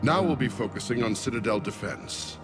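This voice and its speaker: An actor playing a grave, war-weary old alien general in a sci-fi game, speaking formally. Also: male voice, mid-range voice, expressive, normal speed, over loud music, natural voice. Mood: sober, grateful. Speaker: military commander. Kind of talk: dramatic sci-fi game acting.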